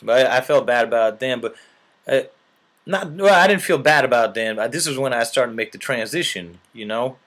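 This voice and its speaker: A man with a medium-pitched voice spins a long, earnest tale. He rambles on in a mock-serious tone and keeps checking in with the listener. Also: fairly quick, a bit bright. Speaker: storyteller.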